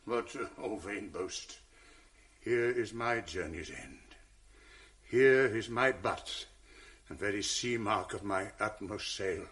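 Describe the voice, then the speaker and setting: An actor playing Othello, a proud general, who swings between roaring anguish and low grief in a 1980s radio drama. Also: male voice, slow pace, a bit bright.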